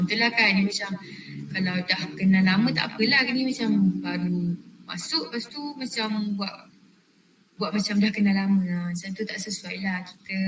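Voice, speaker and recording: A woman's voice: medium pitch, a little hiss or hum. A young Malaysian woman giving a casual class presentation, chatty and addressing her listeners directly. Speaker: trainee presenter. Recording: echoey room, from far off.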